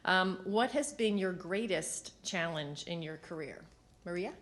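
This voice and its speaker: A woman's voice: on the low side, unhurried. A woman moderating a panel, giving upbeat, brief prompts.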